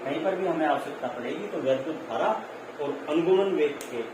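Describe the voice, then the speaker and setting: A man's voice: fairly high pitch, brisk. A man teaching physics in an explanatory, repetitive way, checking in as he goes and mixing in English terms. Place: echoey room. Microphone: distant microphone. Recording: very echoey, distant mic, clear noise.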